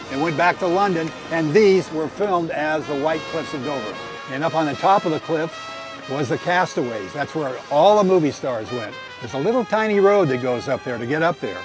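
A man's voice: a little high, low-quality recording. An older American man around his seventies, talking as a folksy harbor tour guide and reminiscing in an informal way. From Southern California, United States. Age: older, around 70s. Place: outdoors on a small moving boat in a harbor.

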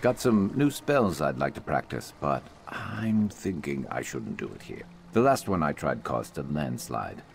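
A brooding reptilian adventurer from a video game, speaks in an extremely low, creaky growl. The delivery is dry and grumbling, yet it swings expressively up and down, wry and gruff throughout. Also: male voice, very animated, unhurried, few pauses, a little hiss or hum, full character voice. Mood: deadpan, musing. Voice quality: gravelly. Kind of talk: video game companion dialogue.

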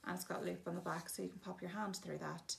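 A woman's voice: lowish voice, steady tone, fast, vocal fry, slight background noise. A woman giving a chatty, casual demonstration of bathroom products, explaining each one plainly with frequent ums. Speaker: shop presenter showing products.

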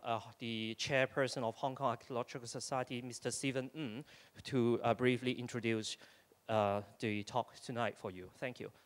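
A man acting as emcee, giving polite formal housekeeping announcements in a lecture theatre. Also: mid-range voice. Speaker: event emcee. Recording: reverberant hall.

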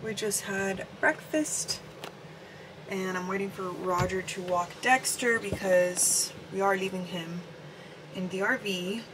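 A young woman vlogs in a casual, informal way, with a medium-pitched voice. Her thoughts tend to trail off as she talks to a handheld camera. There is clear ambient noise behind her. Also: takes it slowly. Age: young adult. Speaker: vlogger. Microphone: handheld camera at arm's length.

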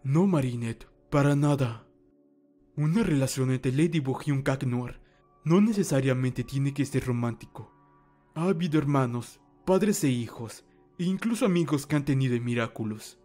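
A man playing a calm, wise old mentor in a fan dub, speaking formal Latin American Spanish in a measured, reassuring way. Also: medium pitch, soft background music, natural voice.

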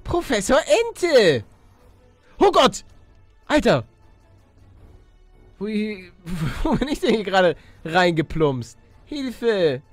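Animated, high-pitched man gaming. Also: very slow, long pauses, no echo, soft background music. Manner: exclamatory, slangy, talking to viewers and to himself. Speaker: gaming YouTuber and let's player. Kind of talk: excitable Minecraft let's play commentary.

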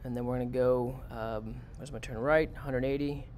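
A male teacher explaining a coding exercise step by step in a plain, practical way. His voice sits at an ordinary middle pitch. A faint hiss runs under his computer-mic recording. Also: steady tone, moderate pace. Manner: plain, step-by-step, talks students through it. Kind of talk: screen-recorded coding lesson.

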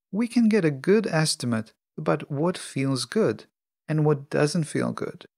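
A man hosting a self-help podcast alone, speaking in measured, deliberate phrases. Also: on the high side, gravelly, no echo, clean studio sound. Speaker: podcast host.

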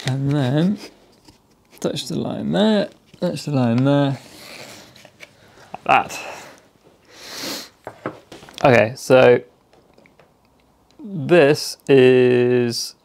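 Guy talking thru a diy build in his workshop, bit gravelly, easygoing. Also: medium pitch, very slow, long pauses. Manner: relaxed, practical, thinking aloud step by step. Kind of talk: casual how-to narration while working. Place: cluttered home workshop, indoors.

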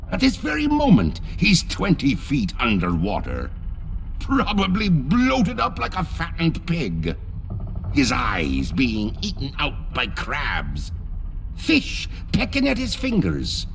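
A gloating ghost pirate captain from a video game. The voice is gravelly and swings wildly in pitch while taking its sinister time. Faint noise sits behind it. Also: male voice, fairly bright tone, full character voice. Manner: slow, theatrical, savouring sinister words. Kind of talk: video game character acting.

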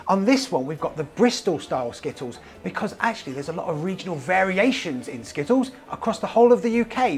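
A British man presents to camera in a chatty, enthusiastic style. His delivery is that of a scripted promotional video, recorded cleanly with music playing behind him. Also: a little high. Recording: clean voice over background music.

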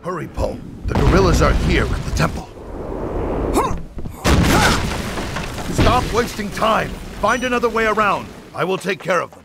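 A man voicing a stern, exacting old martial arts master in an animated game, with clipped, dry, commanding delivery. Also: high-pitched, fairly quick, gravelly, loud music behind the voice. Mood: urgent.

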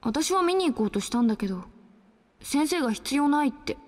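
A woman's voice: high voice, expressive, a little fast, a little mellow, big-room sound. An actress playing a casual young woman, a fighter's companion, in a video game cutscene.

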